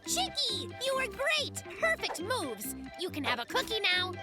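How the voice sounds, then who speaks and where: A woman's voice: extremely high pitch, wildly swooping pitch, a little slow, heavily acted. A woman voicing a boastful, hyperactive young male fox in a children's cartoon, showy and bossy. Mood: showman pride. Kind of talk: animated children's cartoon acting. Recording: loud music under the voice.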